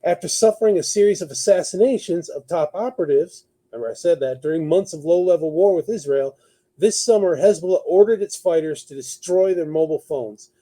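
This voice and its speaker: A man's voice: fairly high pitch, fairly quick, dark tone. A man hosting a talk show, giving conversational news commentary partly read from notes, thinking aloud as he goes.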